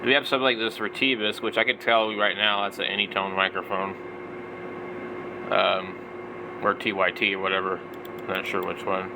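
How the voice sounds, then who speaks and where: Low-voiced man rambling over background noise. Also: little pitch movement, moderate pace, gravelly, pauses often. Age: 40s. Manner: rambling, thinking aloud. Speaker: vlogger, radio hobbyist. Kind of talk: casual off-the-cuff product commentary.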